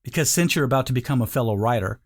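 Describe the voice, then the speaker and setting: A man's voice: medium pitch, expressive, racing, slightly rough. A male narrator with a mid-range voice delivers a scripted sales voice-over. He keeps it informal and conversational, addressing the listener directly as if talking one to one.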